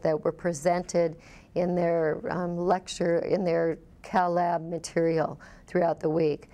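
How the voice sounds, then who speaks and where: A woman college instructor explaining a teaching program in a measured way. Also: on the low side, dark tone.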